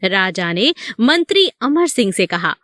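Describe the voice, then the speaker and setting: A woman narrator reading a children's story. Her delivery is unhurried with lots of melodic movement, and the recording is dry. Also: medium pitch, sing-song, fairly bright tone, no echo.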